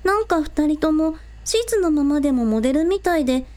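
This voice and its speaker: A woman's voice: sits high, fairly quick. A young woman playing a bubbly, earnest teenage girl idol in a Japanese audio drama. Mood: playful. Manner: excitable, cute, earnest.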